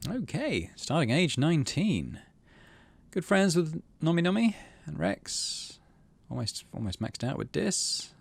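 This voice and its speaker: A man's voice: on the high side, big pitch swings, a little slow, vocal fry, breathy edge. A man hosting a game playthrough, switching between casual commentary and reading story text aloud. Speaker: gaming channel host.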